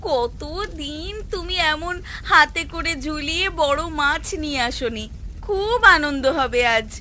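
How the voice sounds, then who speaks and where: Woman acting a high, gleefully spiteful cartoon wife. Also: unhurried, pushed and bright, a little hiss or hum. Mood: delighted. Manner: coy, gleeful exclaiming. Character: spiteful, food-loving village wife delighting in gossip.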